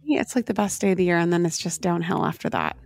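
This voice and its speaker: Hoarse low-voiced woman hosting a podcast. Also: expressive, fast talker. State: hoarse from karaoke. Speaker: podcast host. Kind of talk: casual true crime podcast chat.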